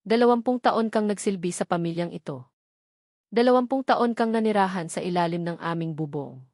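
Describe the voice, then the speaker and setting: A woman's voice: lowish voice, brisk, darkish tone, no echo, clean studio sound, natural voice. A woman voicing a stern, wealthy man with a blunt, accusing manner in an audio drama. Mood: restrained anger. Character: stern wealthy man confronting a servant with anger.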